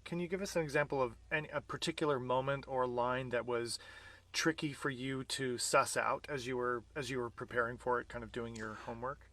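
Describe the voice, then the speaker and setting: A man's voice: medium pitch, steady tone, a little fast, a bit bright. A man hosting a casual interview, speaking in an encouraging, conversational way. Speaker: interviewer, host.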